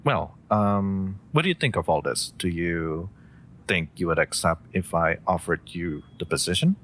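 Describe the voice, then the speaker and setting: Man acting a supervillain employer, very low voice, slow, courteous, faintly menacing, audio drama roleplay. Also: fairly bright tone. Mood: serious, warning. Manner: courteous, measured, hesitant asides, faintly menacing. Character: polite, theatrical male supervillain interviewing a job applicant. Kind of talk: scripted audio roleplay addressed to the listener. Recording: faint background ambience and rumble.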